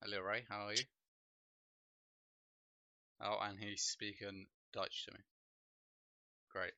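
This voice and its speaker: A man's voice: fairly low pitch, slow pace, vocal fry, no echo. Young Polish-born man, dull lo-fi stream audio. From Poland. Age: young adult. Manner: relaxed, rambling, heavy with fillers like "like" and "bro". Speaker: streamer and podcast host. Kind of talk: casual chat on a livestream. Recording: dull lo-fi home mic sound.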